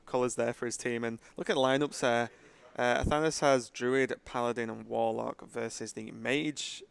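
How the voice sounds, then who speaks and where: A man's voice: mid-range voice, steady tone, normal speed, vocal fry, clean tone. A man casting an esports tournament in conversational live desk commentary.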